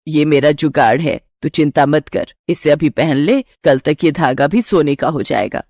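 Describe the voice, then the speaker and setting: A woman voicing a resourceful older mother-in-law in a Hindi cartoon moral tale, calm, confident and affectionate. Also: deep voice, moderate pace, dry recording, pitched below the performer's own voice. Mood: reassuring, confident. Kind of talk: cartoon storybook character voice.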